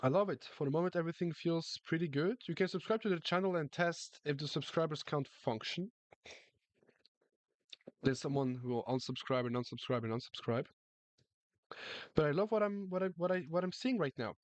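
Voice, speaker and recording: A man's voice: a little high, brisk, dry recording. Chatty male streamer. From France. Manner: chatty, repeats words, reads chat aloud. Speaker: chess streamer. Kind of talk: casual live-stream chat.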